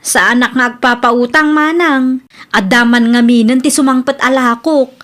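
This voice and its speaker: An actress plays a young woman with a very high voice and an Ilocano accent. She is a respectful, hardworking daughter and online seller in a radio drama, earnest and quick to protest when wronged. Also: fairly bright tone. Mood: indignant.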